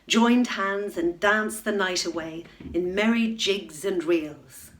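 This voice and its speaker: A middle-aged woman reading a children's bedtime story aloud at home, expressive, into a webcam. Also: lowish voice, takes it slowly. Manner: expressive, rising to loud excitement in dramatic moments. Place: room at home.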